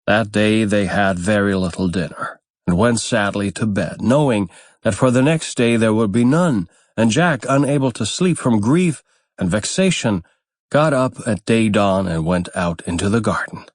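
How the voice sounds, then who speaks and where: A man narrating a fairytale audiobook in a measured, deliberate storytelling manner. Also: on the low side, dry recording, clean studio sound.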